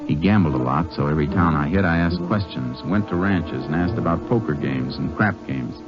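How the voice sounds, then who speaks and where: An actor playing a laconic, shrewd Texas Ranger in an old-time radio western, with an American accent and terse, folksy cowboy talk. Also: male voice, rumbling low, brisk. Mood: terse, determined. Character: laconic Texas Ranger, shrewd, steady lawman posing as drifter. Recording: muffled vintage radio recording, music behind.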